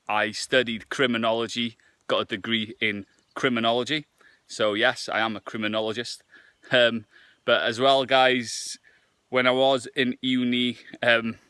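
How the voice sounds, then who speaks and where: A man's voice: fairly low pitch, sharp tone, clean tone, no room sound. A Welsh man in his twenties, a native Welsh speaker, chatting casually to camera on a walk with frequent hesitations. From Wales. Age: 20s. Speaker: fitness vlogger and nutritionist. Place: outdoors on a morning walk.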